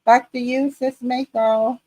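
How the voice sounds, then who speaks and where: A woman's voice: fairly high pitch, little pitch movement, slow pace, darkish tone. A woman from the Philippines co-hosting a live online show, upbeat and full of repeated greetings. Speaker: co-host.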